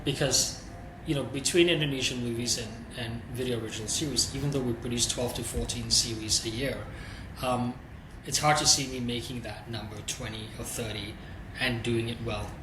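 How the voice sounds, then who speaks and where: A man's voice: medium pitch, normal speed. A man, a streaming executive, answering interview questions in a candid, thoughtful way, thinking aloud as he goes. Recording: roomy echo with steady background noise.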